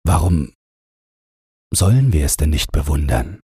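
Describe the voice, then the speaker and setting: Man playing a husky, patronizing cage overseer. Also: a bass voice, animated, a little slow, dark tone, no room sound, clean studio sound, heavily acted. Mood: patronizing, brisk. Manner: obliging, condescending questioning. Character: male cage overseer, patronizing, humoring, puzzled.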